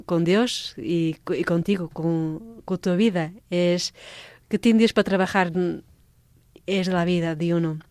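A Portuguese-born religious sister speaking Spanish as a guest in a radio interview, pausing often and restarting her words. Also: lowish voice, a little mellow, clean tone, dry recording, studio quality. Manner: halting, searching for words, many restarts.

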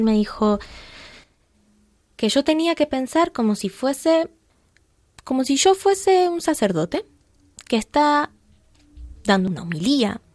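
A woman's voice: mid-range voice, dry recording. Earnest Argentine woman violinist, dry sound. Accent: Argentine Spanish (Buenos Aires). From Argentina, Buenos Aires area. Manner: earnest, heartfelt, with soft laughs. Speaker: violinist guest. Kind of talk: radio interview chat.

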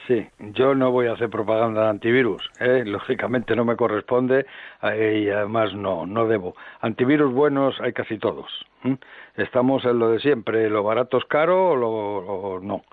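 An older man, a general appearing as guest expert, explaining calmly in a measured, didactic way with tag questions. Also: fairly low pitch, dry recording. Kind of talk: explaining on radio. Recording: phone-like line, dry.

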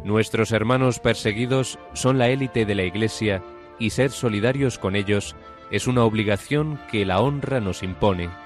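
A man's voice: fairly low pitch, normal speed. A man reading a scripted quotation for a radio programme. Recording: loud music under voice. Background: instrumental music.

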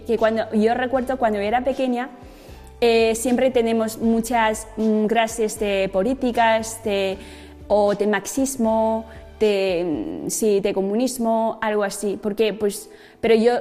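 Chinese woman in her 30s, accent, lots of um's, slightly high voice, echoey clip with soft music. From China. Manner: hesitant, many fillers, searching for words. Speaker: interviewee giving a testimony.

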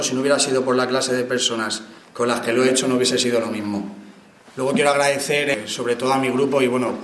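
A man's voice: medium pitch, roomy sound, a little hiss or hum. A man giving a plain, grateful thank-you speech.

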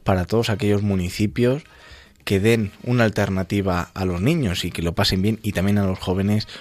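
A man's voice: fairly low pitch, fairly even intonation, normal speed, darkish tone. A male radio host. He comments in a conversational style, as in a studio chat between colleagues.

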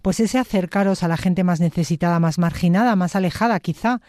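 A woman's voice: on the low side, a little fast, darkish tone, no room sound, clean studio sound. A woman with a Spain Spanish accent hosting a conversational radio interview in an appreciative, encouraging, rambling way. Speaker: radio host.

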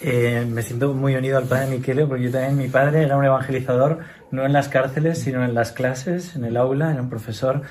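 Effusive Spanish radio priest host. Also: male voice, medium pitch, steady tone, a little fast, a little hiss or hum. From Spain. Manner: warm, effusive greetings. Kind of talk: radio program presentation.

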